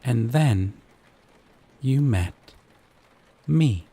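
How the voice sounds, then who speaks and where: A man playing a gentle but obsessive, possessive dating-sim character in an intimate roleplay monologue, with soft breathy delivery and lingering pauses. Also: mid-range voice, expressive, heavily acted. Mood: tender, smitten.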